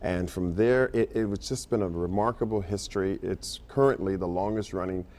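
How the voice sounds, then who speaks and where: A man's voice: low voice, steady tone, brisk, a little mellow. An American man from Detroit, a playwright and director, telling his story earnestly in a conversational TV interview, feeling his way into sentences. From Detroit, United States.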